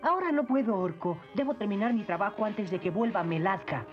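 A woman's voice: medium pitch, lively intonation, fairly quick, soft background music, lightly acted. A woman with a Latin American accent voicing a dutiful, anxious young boy apprentice in a cartoon dub. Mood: earnest. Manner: earnest, anxious. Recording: muffled old cartoon dub.

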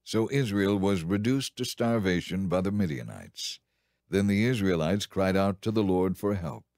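Low-voiced man narrating scripture. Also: animated, moderate pace, a little mellow, no room sound, clean studio sound. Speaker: audiobook narrator. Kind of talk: dramatized scripted Bible narration.

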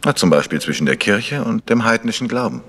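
An actor playing a polite, inquisitive amateur detective in a German radio mystery. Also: male voice, on the low side, animated, fairly quick, a bit bright. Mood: probing. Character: probing, courteous amateur detective. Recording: faintly noisy old radio recording.

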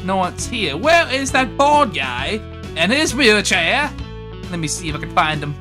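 A high-voiced man improvises a comedy dub, drawing out his lines slowly with goofy exaggeration and shouts. Loud music plays behind him. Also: big pitch swings. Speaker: comedic dubber. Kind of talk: improvised comedy dub over game cutscenes.

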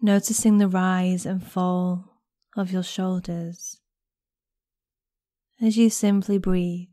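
A woman guiding a meditation in a gentle, soothing, unhurried manner with long pauses between phrases. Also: lowish voice, little pitch movement, dry recording, clean studio sound. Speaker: guided meditation instructor. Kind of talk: guided body scan meditation narration.

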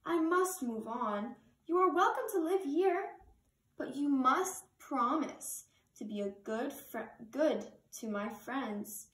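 A woman's voice: sits high, animated, takes it slowly, a bit bright, heavily acted. A young woman voicing a polite, kindly male hermit crab while reading a storybook aloud, recorded from across a living room. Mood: earnest. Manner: polite, earnest asking. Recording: roomy living room from far camera.

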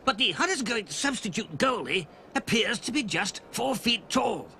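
A man voicing an excitable football commentator with a gravelly voice. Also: sits high, moderate pace, exaggerated voice. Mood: excited. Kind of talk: mock sports commentary in a story. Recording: noisy recording with ambience.

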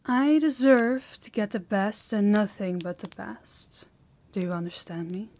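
A woman acts out a dominant, sadistic demoness in an intimate audio roleplay. She takes her words very slowly at a middling pitch, possessive and menacing, taunting her listener with haughty command. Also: darkish tone, close to an everyday voice. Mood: cold, threatening. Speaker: voice actor.

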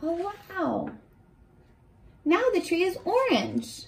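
A woman in her twenties reading aloud and teaching young children in an animated, encouraging way, pausing to ask questions, recorded at arm's length indoors. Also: very high-pitched, creaky. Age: 20s. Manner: animated, encouraging, asks questions and pauses for answers. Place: small furnished bedroom, indoors.

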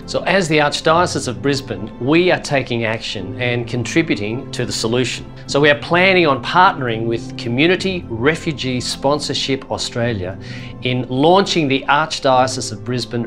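A man in his sixties, an auxiliary bishop, earnestly and preacherly urging his listeners toward a mission. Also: medium pitch. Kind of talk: promotional address to the faithful. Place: room with a brick wall and shelves. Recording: soft music underneath.

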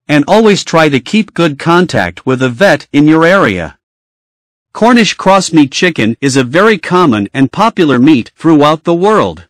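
A man giving scripted informational narration in a measured, instructional way. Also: on the high side, no echo, studio quality.

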